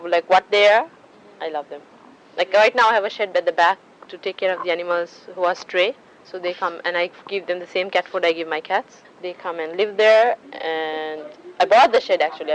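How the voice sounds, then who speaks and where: A woman's voice: on the low side, a little hiss or hum, rough recording. A woman in her twenties from Sri Lanka, chatting casually about her life in an interview, with frequent restarts and fillers. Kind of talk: casual personal storytelling.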